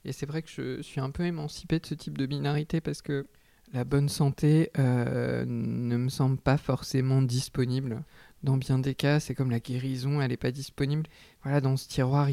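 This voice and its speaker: Low creaky man, hesitant artist. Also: level tone, moderate pace, warm tone, no room sound. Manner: hesitant, searching for words. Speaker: textile artist guest. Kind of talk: reflective interview answers.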